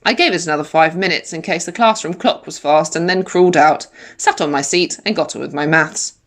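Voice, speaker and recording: A woman reading a children's story in the first person, with animated, dramatic emphasis like a primary school teacher. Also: sits low, a little fast. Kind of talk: expressive children's audiobook narration in first person.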